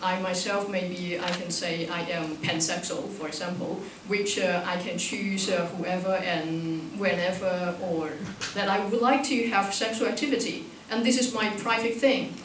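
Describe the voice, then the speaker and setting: A woman speaks reflectively at a panel discussion in halting, non-native phrasing. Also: on the low side, steady tone. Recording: echoey room, distant mic, faint noise.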